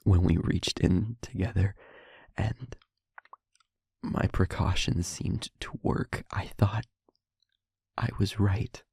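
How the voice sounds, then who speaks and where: A man playing a guilt-ridden demon lover in an intimate audio roleplay, speaking in a halting, breathy, confessional hush. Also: deep voice, fairly even intonation, no room sound, clean studio sound, full character voice. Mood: remorseful, haunted. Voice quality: breathy, husky, whispery.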